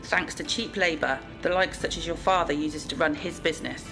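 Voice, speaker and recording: A woman playing a stern, quietly moralising police inspector, measured and matter-of-fact, in an amateur radio drama. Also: deep voice, over music, poor audio. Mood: accusing, measured.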